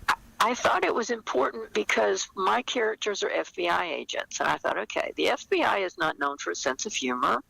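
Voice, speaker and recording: An older woman speaks thoughtfully in an interview, with a creaky, gravelly edge and a bright, forceful tone. She explains things conversationally, often pausing to find the word. The sound is very dry. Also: mid-range voice, lively intonation, dry recording, rough recording. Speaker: novelist, interview guest.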